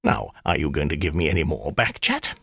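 A man's voice: rumbling low, big pitch swings, fairly quick, vocal fry, a bit bright, slightly rough, no room sound, studio quality, pitched below the performer's own voice, full character voice. A man voicing an irritable old male magician, stern and sardonic, in a clipped, scolding manner for an audiobook. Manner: clipped, scolding, dryly sarcastic. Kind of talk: audiobook character voice.

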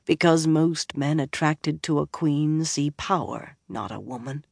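A woman voicing a gruff older male bard who speaks low, soft and weary. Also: measured pace, dry recording, studio quality, exaggerated voice.

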